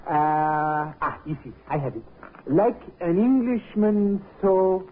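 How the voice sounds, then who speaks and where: An actor playing an excitable, amorous French inventor who halts and hunts for English words, in 1950s radio drama style. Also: male voice, on the high side, slight background noise. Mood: amused, confident. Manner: halting, hunting for English words. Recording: tinny, narrow old radio recording.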